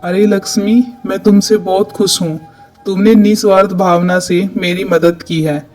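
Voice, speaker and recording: A man's voice: sits high, flat delivery, normal speed, a little mellow, lightly acted. A man voicing a grateful, generous magical talking tree with a benevolent, declaring manner in a cartoon. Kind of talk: cartoon dialogue. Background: background music.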